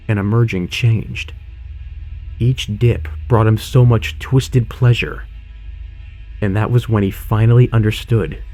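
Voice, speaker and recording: A man's voice: on the low side, mellow. A man narrating a scripted horror audio drama in a dramatic storytelling style.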